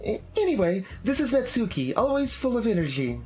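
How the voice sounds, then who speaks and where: An energetic teenage schoolgirl from an anime game, acted out by a man. The voice is lifted high and swings about, cheerful and excitable. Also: fairly quick.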